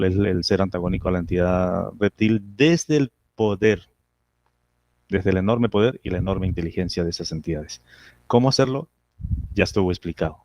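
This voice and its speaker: A man's voice: low voice, darkish tone, dry recording, muffled recording. A man answering as a hypnotherapist guest in a casual interview, speaking emphatically and repeating phrases with self-assurance.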